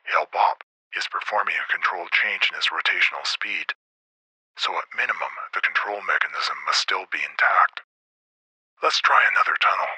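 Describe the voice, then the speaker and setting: A man plays a stern, aging patriarch in a science fiction audiobook, heard through a helmet radio. His voice is low and husky with a sharp, close, dry edge, muttering with terse authority. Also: normal speed, dry recording, exaggerated voice, low-quality recording. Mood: encouraging. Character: stern, frail old male space explorer, patriarch. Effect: helmet radio filter.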